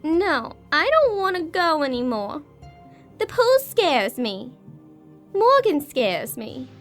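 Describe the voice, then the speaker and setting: A woman's voice: sits high, very animated, slow, a bit bright, faint music behind, full character voice. A female performer playing a frightened, sulky, spoiled little English girl in an audio horror drama. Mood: sulky, scared.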